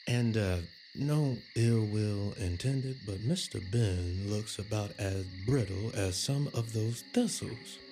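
A man's voice: lowish voice, slow pace, hardly any pauses, warm tone, faint music behind. An actor playing a wary, uneasy younger Southern countryman with a folksy, hesitant way of talking in an audio drama. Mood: uneasy, doubtful.

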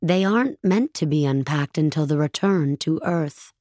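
A woman voicing a cold, emotionless female machine caretaker on a starship, flat and matter-of-fact. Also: deep voice, moderate pace, no room sound, studio quality, pitched below the performer's own voice, lightly acted. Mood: detached.

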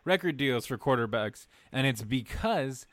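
A man's voice: medium pitch, animated, moderate pace, a bit bright, dry recording. Brief: podcast co-host, man, easygoing reasoner, dry sound. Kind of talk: casual podcast chat.

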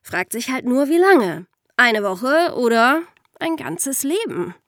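A woman's voice: sits high, very animated, slow, no room sound, studio quality. A woman with a German accent co-hosting a podcast in casual, explanatory chat, with a wry touch. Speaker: podcast host.

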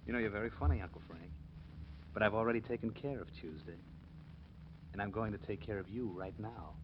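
An actor playing a cocky, menacing murder suspect in 1940s radio style, with a smug, mocking manner. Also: male voice, on the low side, a little fast, close to an everyday voice. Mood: mocking menace. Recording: muffled, noisy old radio recording.

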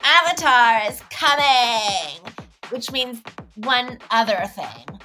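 A gushing, ambitious stage actress in an audio comedy. She takes her time dramatically in a bright voice, self-promoting and starry-eyed, over music. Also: on the high side, expressive, slow pace, full character voice. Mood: dreamy, gushing.

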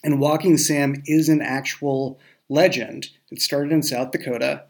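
A man with a creaky, mid-pitched voice talks casually as a show's creator. His explanations are halting, with frequent self-corrections. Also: fairly even intonation, a little mellow. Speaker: podcast creator and writer. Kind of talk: casual behind-the-scenes chat.

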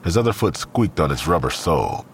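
A man's voice: a bass voice, very animated, brisk, a little hiss or hum. A man narrating a scripted audio drama. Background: music.

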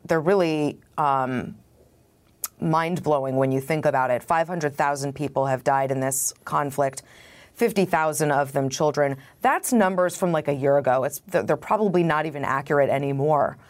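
A woman's voice: sits low, normal speed. A woman hosting an interview podcast, asking her guest direct, conversational questions. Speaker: podcast host.

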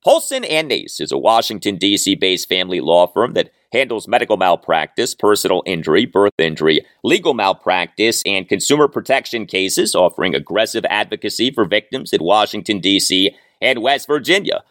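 A man's voice: on the low side, sing-song, a little fast, hardly any pauses, a bit bright, no room sound. Guy doing a sports podcast, really up and down delivery, punches his phrases, super dry studio sound. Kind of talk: sports commentary monologue with sponsor read.

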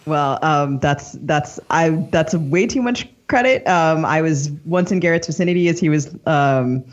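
Playful woman co-host, slightly dull audio. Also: sits low. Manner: playful, quick to banter. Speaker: podcast co-host and novelist. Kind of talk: casual podcast banter and guest introductions.

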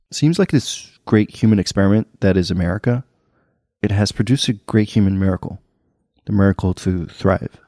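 A man hosting a podcast, reading scripted narration in a measured, earnest way. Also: lowish voice, a little mellow, no room sound, clean studio sound. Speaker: podcast host.

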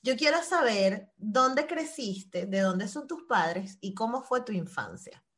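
A woman with a fairly high voice, hosting a casual interview. She asks questions quickly, in a playful tone, keeping the chat moving. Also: lively intonation, fairly bright tone. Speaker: podcast host.

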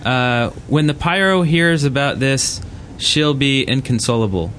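A man's voice: medium pitch, unhurried, fairly bright tone. A man hosting a casual group chat at a live event, speaking in a loose, hesitant way. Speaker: podcast host. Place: live podcast recording at a gaming convention. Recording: live convention room, faint background noise.

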